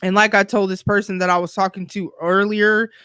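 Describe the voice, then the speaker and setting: A woman's voice: medium pitch, level tone, a little fast, a bit bright, clean tone, dry recording, studio quality. A woman in her forties hosting her own podcast, talking candidly in a chatty, blunt way. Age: 40s. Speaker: podcast host. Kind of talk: solo podcast monologue.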